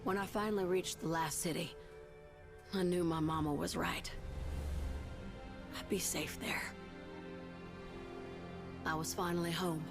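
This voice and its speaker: A woman voicing a plucky, tough, warm-hearted pilot in a video game, with a folksy, sincere manner. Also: fairly low pitch, steady tone, a little slow, long pauses. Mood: wistful, resolute. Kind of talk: game voice acting. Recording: clear music bed behind the voice.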